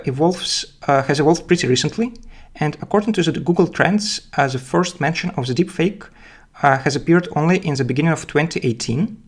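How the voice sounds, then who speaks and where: A man with a Belarusian accent giving technical explanations as a guest speaker, haltingly, often repeating words. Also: a little high. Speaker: guest speaker, data scientist. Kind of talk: technical presentation and interview answers.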